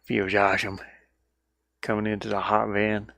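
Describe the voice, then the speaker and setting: A man's voice: fairly low pitch, monotone, moderate pace, gravelly, fairly bright tone, no echo. A man in casual solo livestream chat, rambling and muttering as he thinks aloud while working, recorded inside a van. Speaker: livestreamer and indie game developer.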